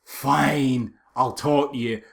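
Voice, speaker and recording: A man's voice: medium pitch, slow pace, gravelly. A man co-hosting a podcast, giving casual comedic film commentary and mimicking lines mockingly. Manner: animated retelling with mocking mimicked quotes. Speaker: podcast co-host.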